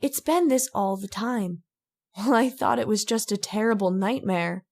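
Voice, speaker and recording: Haunted heroine, female actor: medium pitch, fearful, earnest, halting, melodramatic radio horror delivery. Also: darkish tone, natural voice. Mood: anxious. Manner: halting, pleading. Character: distraught, haunted young woman confessing, earnest and fearful. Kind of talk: old-time radio drama acting.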